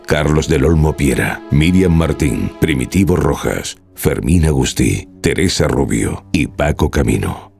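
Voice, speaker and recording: Very deep, gravelly Spanish male announcer. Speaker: programme announcer. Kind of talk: scripted radio credits. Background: music.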